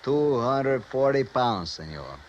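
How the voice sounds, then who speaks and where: Excitable Mexican police sergeant, noisy recording. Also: male voice, mid-range voice, slow pace. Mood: boastful. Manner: broken English, emphatic and boastful. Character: excitable, self-important short stocky Mexican police sergeant. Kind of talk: 1950s radio drama acting. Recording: dull old radio recording, loud noise.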